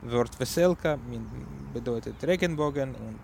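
A man with a Ukrainian accent, a native Ukrainian speaker, presenting music on a community radio show in halting German full of fillers as he searches for words. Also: medium pitch, creaky, darkish tone, slight background noise.

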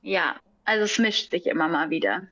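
A young woman, a singer who is a guest, talking casually in an interview, relaxed, often laughing and searching for words. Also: on the low side, a little fast, no room sound, highs cut off.

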